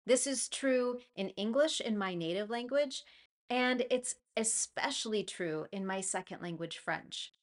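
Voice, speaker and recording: A woman teaching English to learners, talking through a clear, encouraging lesson with a personal story. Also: mid-range voice, expressive, measured pace. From United States. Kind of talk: scripted lesson monologue.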